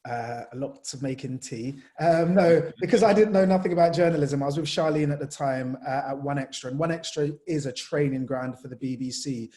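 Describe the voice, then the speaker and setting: A young man from Jamaica, a sports journalist, telling his story in a lively, chatty way with lots of fillers. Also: on the high side, fairly quick, few pauses. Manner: animated storyteller, many fillers. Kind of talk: casual panel talk.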